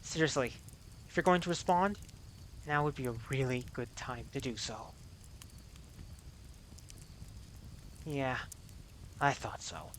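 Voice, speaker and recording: Audio drama survivor: man playing weary American auto mechanic, mid-pitched, sardonic and rambling, broadcasting alone by radio. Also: lively intonation, moderate pace, fairly bright tone, slight background noise, natural voice. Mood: wry frustration. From United States. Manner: wry, sardonic, rambling, profane.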